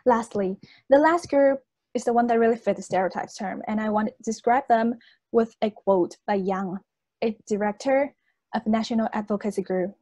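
A woman's voice: on the high side, normal speed, dark tone, no room sound. A young woman from China, a native Chinese speaker, delivering a persuasive competition speech in an earnest, audience-addressing manner. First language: Chinese (Hainanese and Mandarin). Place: indoors on a video call.

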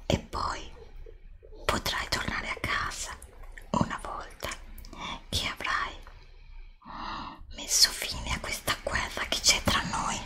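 A solemn princess played by a woman whispers in a bright, crisp hush. She pleads dramatically for her threatened kingdom, as in a fairy-tale ASMR roleplay, close to the mic. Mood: solemn, grave. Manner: slow, hushed, dramatic pleading. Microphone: close desktop USB microphone.

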